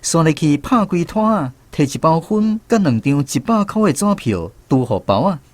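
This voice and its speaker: An actor narrating as a former conscript in a radio drama, telling scripted first-person memories with a Taiwanese accent. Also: male voice, on the high side, sing-song, normal speed. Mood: reflective. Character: wry former conscript narrating his island army memories. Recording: music bed under narration.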